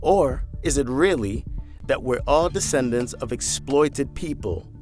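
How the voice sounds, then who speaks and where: A man's voice: mid-range voice, lively intonation, measured pace, darkish tone, soft background music. A man narrating a news feature in a scripted, conversational voice-over, asking rhetorical questions.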